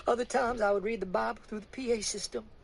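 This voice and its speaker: A man voicing a frightened, grieving older church woman, high and breaking with tears. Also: steady tone, fast, vocal fry, slight background noise. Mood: tearful.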